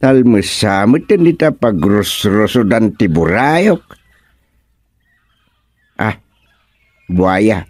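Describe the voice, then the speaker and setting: A man's voice: on the low side, big pitch swings, slow, long pauses, no echo, full character voice. Bossy, wildly swooping comic king. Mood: exasperated. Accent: Ilocano. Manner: exclamatory, taunting, swooping between mutters and yells. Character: bossy, blustering male king scolding his cowardly soldier. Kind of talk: Ilocano radio comedy drama acting.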